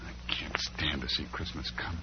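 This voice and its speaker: An actor playing a humble, down-on-his-luck father, gentle and hesitant, in an old-time radio drama. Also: fairly even intonation, moderate pace, heavy background noise. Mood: wistful, low. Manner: subdued, hesitant, low-key. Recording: muffled old radio recording.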